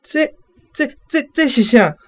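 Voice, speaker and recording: A nervous doctor, hesitant and easily spooked. The delivery is slow and very animated in pitch, with stammered, repeated phrases. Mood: startled, flustered. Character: startled doctor, snake-fearing, flustered and hesitant. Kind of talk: radio drama acting in Taiwanese.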